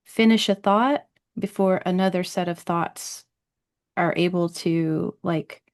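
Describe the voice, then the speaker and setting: A woman's voice: lowish voice, slow, darkish tone. A woman hosting a podcast interview, explaining her ideas in a chatty way and often checking in with "right?". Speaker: podcast host. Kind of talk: conversational interview.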